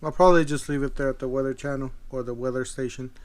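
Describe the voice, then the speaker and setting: A man giving a casual product demo as a video host, thinking aloud in a halting, tentative way. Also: mid-range voice, fairly even intonation. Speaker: video host, gadget reviewer.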